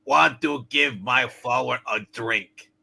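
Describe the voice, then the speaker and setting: A man voicing a pleading little sick girl with a raspy voice, hamming it up in a live radio play reading. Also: mid-range voice, slow pace, pushed and bright, heavily acted. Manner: hammed-up childish pleading. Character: little daughter, sick in bed, pleading and childlike.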